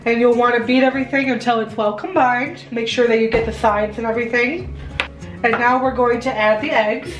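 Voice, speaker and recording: A woman's voice: on the high side, fairly even intonation, a little fast, muffled recording, soft background music. A young adult American woman talking through a recipe in a chatty, step-by-step home tutorial style. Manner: chatty, instructive. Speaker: home baking vlogger. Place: home kitchen, indoors. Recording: roomy kitchen echo.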